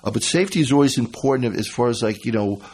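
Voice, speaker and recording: Male radio host, husky, halting and filler-heavy, casual talk-show delivery. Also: medium pitch, darkish tone. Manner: rambling, halting, with many fillers.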